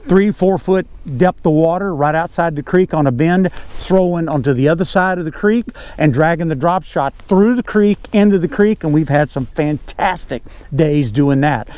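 A man's voice: on the high side, a little mellow, dry recording. An older man with a Southern US accent giving an informal, folksy fishing lesson as a guide, repeating key words for emphasis. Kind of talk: informal instructional talk. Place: outdoors on a bass boat on a lake.

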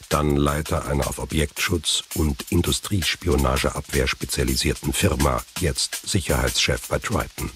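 Very deep male thriller narrator.

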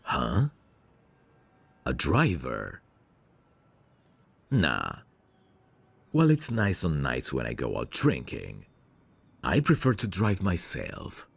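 Man playing very deep, gravelly suave mafia heir. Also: dry recording, exaggerated voice. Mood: charming, courteous. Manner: smooth-talking, courtly, flirtatious. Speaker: voice actor. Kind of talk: ASMR roleplay, intimate one-sided dialogue.

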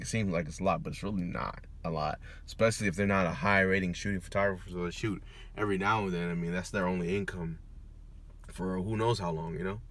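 A man's voice: low-pitched, fairly bright tone. A man in his thirties chatting casually as a vlogger, recorded on a phone held at arm's length. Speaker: vlogger, photographer. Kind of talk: casual vlog chat. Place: outdoors and inside a car. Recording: noisy street and car ambience.

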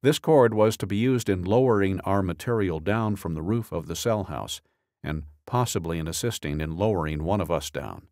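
A man reading a true-crime audiobook as scripted narration, in a measured, deliberate, matter-of-fact way. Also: sits low, animated, darkish tone, no echo, clean studio sound.

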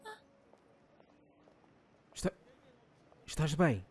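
Man acting flustered, lively-pitched anime nice guy. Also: extremely slow, slightly rough, soft background music, heavily acted. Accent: European Portuguese. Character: timid, earnest, flustered young man with a crush. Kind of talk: anime fan dub acting.

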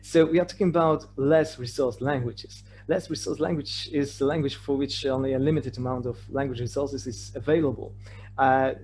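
A man with a Polish accent gives an online slide lecture at a middling pitch. He speaks hesitantly, often repeating words as he builds his sentences. A faint noise and hum run under the voice. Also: a little mellow. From Poland. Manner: hesitant, repeats words and stammers over phrase starts. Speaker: conference presenter. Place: remote online presentation with shared screen. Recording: clearly noisy online talk with hum.

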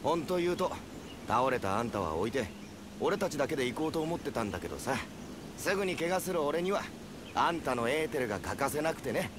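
An actor playing a brash, good-hearted young fighter in a game, casual and rough-spoken. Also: male voice, medium pitch, very animated, a little fast, close to an everyday voice. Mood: blunt, friendly. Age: young adult. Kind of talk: anime-style video game acting. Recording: clear outdoor ambience noise behind.

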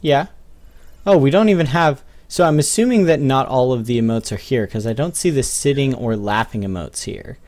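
A man's voice: mid-range voice, moderate pace, a little mellow. A young man hosting a gaming video with casual, off-the-cuff commentary.

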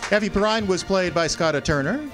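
A man's voice: high-pitched, a little fast, over loud music, a loud crowd. A man hosting a live theater show, announcing the cast at curtain call in a raised, projected voice. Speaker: theater host introducing the cast.

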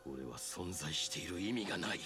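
A man's voice: low-pitched, expressive, a little fast. A man voicing a grim, driven young male martial artist in a game cutscene, clipped and resolute. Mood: subdued. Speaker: game character. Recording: roomy, distant, over loud music.

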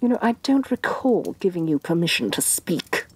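A woman playing a haughty, domineering evil sorceress queen, soft-spoken and imperious in a fantasy ASMR roleplay. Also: lowish voice, very animated, normal speed, slightly rough. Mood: haughty, condescending. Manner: imperious, condescending, theatrically disdainful.

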